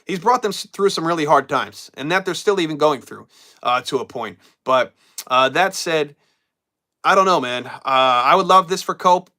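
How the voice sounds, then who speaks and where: A man's voice: fairly high pitch, animated, normal speed, sharp tone. A man hosting a live wrestling stream, chatting casually and reading viewer comments while getting over a cold. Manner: casual, loose, repeats himself and trails off. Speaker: wrestling show host.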